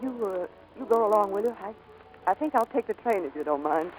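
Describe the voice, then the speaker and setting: A woman's voice: medium pitch, lively intonation, fairly quick, a little mellow, soft background music, close to an everyday voice. An actress playing a plucky young woman pilot in 1940s radio drama, hesitant and wistful behind brave wisecracks. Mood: hurt, wry. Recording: noisy vintage 1940s radio broadcast.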